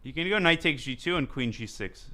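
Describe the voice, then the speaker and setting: A young man streaming a live chess game, commentating as he plays with quick thinking aloud and jokey asides. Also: on the high side, lively intonation, sharp tone. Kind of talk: live commentary while playing.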